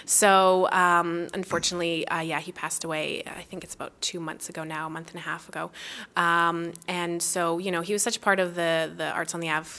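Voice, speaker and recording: Female festival organizer type, slightly low voice, upbeat conversational interview delivery. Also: little pitch movement, fairly quick, pushed and bright. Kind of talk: casual interview chat.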